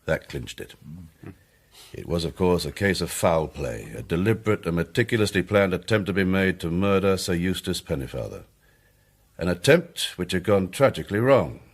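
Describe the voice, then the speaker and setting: Low-voiced, methodical police inspector. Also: male voice, expressive. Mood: grave. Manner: formal, measured, matter-of-fact. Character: male police chief inspector, methodical, briefing amateur detectives. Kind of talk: period detective radio drama.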